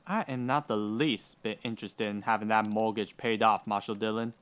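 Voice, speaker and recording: An actor playing a greedy, haughty land grabber in a radio western, speaking with cold, pompous indignation. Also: male voice, fairly low pitch, steady tone, normal speed, fairly bright tone, smooth, natural voice. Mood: smug, cold. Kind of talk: old-time radio western villain acting.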